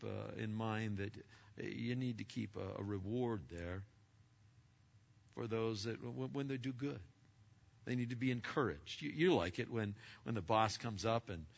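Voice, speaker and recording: Brief: middle-aged male preacher, big bright voice, stammering restarts, dull lo-fi sound. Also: medium pitch, gravelly. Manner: loud and emphatic, with many stammered restarts. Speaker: pastor. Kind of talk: sermon to a congregation. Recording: lo-fi recording.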